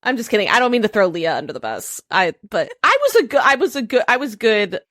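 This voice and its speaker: Brief: higher-voiced woman co-host, jokey, self-deprecating, dry mic. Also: very animated, fast talker, bright, forceful tone, dry recording. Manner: joking, self-deprecating, stumbles and restarts. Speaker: podcast co-host. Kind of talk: casual podcast chat.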